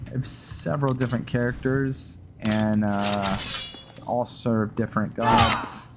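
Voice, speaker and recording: A man's voice: on the low side, heavy background noise. A man giving casual gameplay commentary, muttering to himself with mild folksy exclamations. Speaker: video game streamer.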